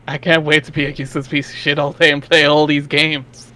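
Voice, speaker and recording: Casual man with a higher voice. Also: flat delivery, moderate pace, bright, forceful tone. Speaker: participant in group reading. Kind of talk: casual chat.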